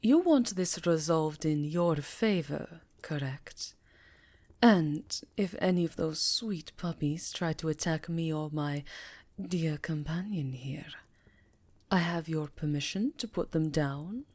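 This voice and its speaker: A woman's voice: low voice, slow pace, darkish tone, no room sound. A woman voicing a regal, sly vampire countess in an ASMR fantasy roleplay, formal and savoring her words with faint menace. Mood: coolly menacing.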